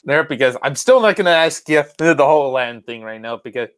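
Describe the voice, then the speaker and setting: A podcast host chatting casually in an unscripted interview, halting and hesitant, circling back on phrases. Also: mid-range voice, lively intonation, clear, resonant tone.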